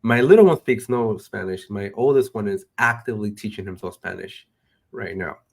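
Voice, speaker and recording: A middle-aged man hosting an interview, relaxed and conversational, chuckling often. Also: lowish voice, normal speed, gravelly. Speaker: host. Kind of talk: casual interview chat.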